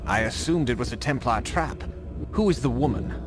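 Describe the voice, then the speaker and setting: A man's voice: mid-range voice, animated, normal speed, close to an everyday voice. A man plays a wary rebel fixer in a video game. His mid-range voice moves expressively while staying guarded and conspiratorial. Light music runs underneath. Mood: wary, challenging. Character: wary, shrewd male rebel contact, helpful to assassins. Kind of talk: scripted game cutscene acting.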